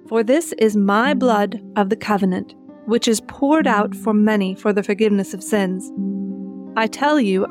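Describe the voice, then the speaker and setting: A woman's voice: medium pitch, darkish tone. Woman reading scripture over guitar music. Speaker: narrator.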